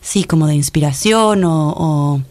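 A woman's voice: low voice, a little mellow. Thoughtful Mexico City woman guest. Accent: Mexico City Spanish. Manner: thoughtful, many pauses and restarts. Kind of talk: reflective conversational interview.

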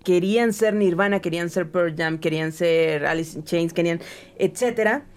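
A woman, co-hosting a casual music podcast and telling the story with lively, animated talk. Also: on the low side, normal speed. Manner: animated, storytelling with many "o sea" fillers. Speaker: podcast co-host.

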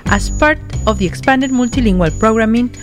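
A woman reading a station promo in a slow, deliberate way. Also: fairly high pitch, soft background music. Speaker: station announcer. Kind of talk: scripted promo.

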